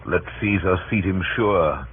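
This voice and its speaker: Deep-voiced scheming Roman conspirator, 1930s radio. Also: male voice, unhurried. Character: Cassius, shrewd resentful Roman conspirator. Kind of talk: 1930s radio Shakespeare acting. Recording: scratchy narrow-band 1930s radio broadcast.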